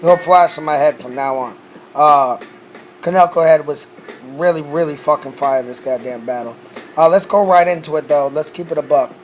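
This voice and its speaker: A man's voice: a little high, normal speed, a little hiss or hum. A man who says he is losing his voice, recapping a rap battle in a casual, profane way and often saying "you know what I'm saying".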